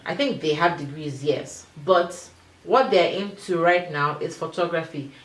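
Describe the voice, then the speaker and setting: Lower-voiced woman chatting in a roomy space. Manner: animated, quick asides, quotes others. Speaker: co-host. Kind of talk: casual panel chat. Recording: roomy live stream sound.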